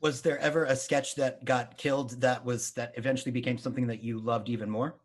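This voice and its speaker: A man's voice: medium pitch, flat delivery, a little fast. Rambling male interviewer on a video call. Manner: rambling, self-correcting questions. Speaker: interviewer host. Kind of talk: casual interview questions.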